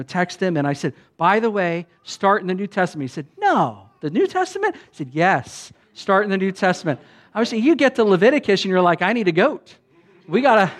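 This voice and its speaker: American pastor guy, kinda high voice, chatty storytelling, does the other people's lines. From United States. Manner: conversational, acts out quoted dialogue. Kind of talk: sermon storytelling.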